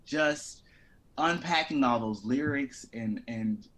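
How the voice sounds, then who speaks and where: A man speaking as an actor being interviewed, candid, reflective and expressive in casual conversation. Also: mid-range voice, slow pace.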